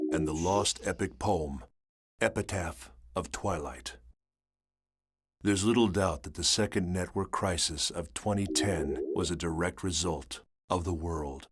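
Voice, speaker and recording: An actor playing a grave, weary chronicler, giving scripted dramatic narration for a game saga. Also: male voice, low voice, slightly rough.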